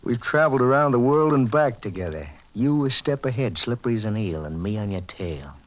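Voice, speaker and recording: An actor playing a relentless hard-boiled bounty hunter, mocking and menacing in 1940s radio drama style. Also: male voice, medium pitch, animated, fairly quick, lightly acted, rough recording. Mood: cool, menacing.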